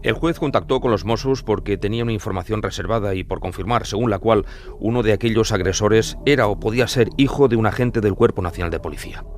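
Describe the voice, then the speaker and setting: A male journalist giving scripted true-crime narration in a measured, formal storytelling manner. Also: on the low side.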